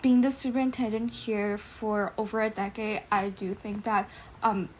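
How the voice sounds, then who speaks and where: A teenage young woman with an American accent, speaking as a student guest in a casual panel discussion, with measured, hesitant phrasing. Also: mid-range voice, little pitch movement, a little mellow, a little hiss or hum, rough recording.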